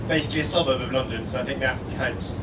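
A man's voice: mid-range voice, fast talker. A man from Scotland giving a prepared, persuasive address on stage in an earnest, rhetorical way. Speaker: guest speaker. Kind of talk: reading a prepared persuasive letter aloud on stage. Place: live stage event indoors. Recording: echoey room, distant mic, steady background noise.

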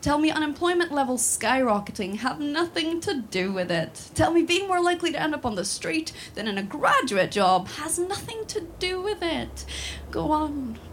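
A woman's voice: high-pitched, big pitch swings, normal speed, a little hiss or hum. A woman in her twenties from Sweden, talking with many fillers and restarts, then reciting a spoken word poem built on repeated refrains. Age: 20s.

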